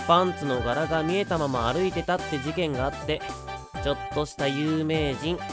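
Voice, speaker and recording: A performer playing an energetic, teasing teenage schoolboy in an anime-style voice drama, with boyish, slangy speech. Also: medium pitch, moderate pace, loud music behind the voice, close to an everyday voice. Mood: teasing, amused. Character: energetic, upbeat teenage schoolboy, passionate dancer.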